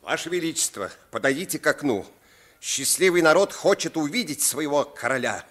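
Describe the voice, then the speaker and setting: An actor playing a stately, insistent lord at court in a radio play. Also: male voice, fairly high pitch, lively intonation, fairly quick, a bit bright. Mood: solemn, insistent. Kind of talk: Russian radio play acting.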